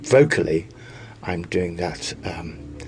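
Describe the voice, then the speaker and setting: Reflective actor with a lowish voice. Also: male voice, animated, slow, darkish tone. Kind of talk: interview about his roles.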